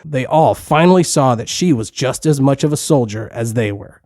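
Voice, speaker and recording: A man narrates a children's story in a plain storytelling manner. His voice sits at a middle pitch, and the recording is close and dry, with no room around it. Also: expressive, normal speed, a little mellow, no room sound.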